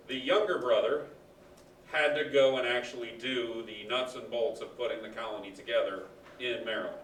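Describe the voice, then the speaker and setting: A male teacher with a booming voice, lecturing casually and quizzing his class. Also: a little hiss or hum. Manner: loud, booming, conversational explaining. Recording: echoey classroom, far from the mic.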